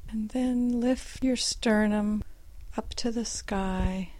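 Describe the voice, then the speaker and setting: A woman guiding a meditation with gentle, soothing, unhurried instructions and long pauses, in a soft and at times breathy voice. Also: medium pitch, steady tone, slight background noise, poor audio. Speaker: meditation guide. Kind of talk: guided meditation.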